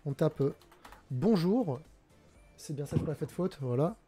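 Man in his forties, streamer host, warm mellow tone, slightly high, headset mic. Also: expressive, moderate pace, husky, faint music behind. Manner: hesitant, many fillers and restarts. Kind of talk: casual live-stream chat. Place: home room indoors.

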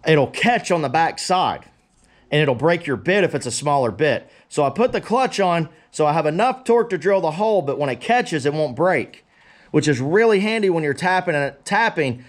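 A man's voice: a little high, animated, normal speed. A man giving a casual, unscripted how-to demonstration in a folksy, plain-spoken way, talking to viewers as a blacksmith at work. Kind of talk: casual unscripted workshop tutorial talk. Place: cluttered forge workshop. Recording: noisy workshop with power tools and clatter.

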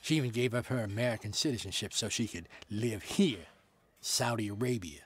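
Husky, low-voiced young man, cautious. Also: brisk. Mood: guarded. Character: young American man, wary and curious. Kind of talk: radio drama acting.

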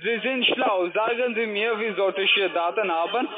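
High-voiced insistent male phone caller. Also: moderate pace. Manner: insistent, questioning, non-native phrasing. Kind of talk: argumentative sales phone call. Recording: tinny phone line with noisy office crowd. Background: loud office chatter and music.

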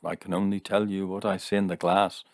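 A man's voice: low-pitched, level tone, a little fast, creaky, dry recording, studio quality. A man voicing a solemn, mystical male seer in a story reading, making portentous pronouncements. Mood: uncertain, dogged.

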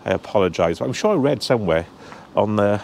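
Male travel vlogger, 50s, casual walk-and-talk outdoors, lowish voice. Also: lively intonation, quick pace, vocal fry, a little mellow, a little hiss or hum. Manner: chatty, talking straight to camera while walking. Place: outdoors on a cold hillside. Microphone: handheld camera at arm's length.

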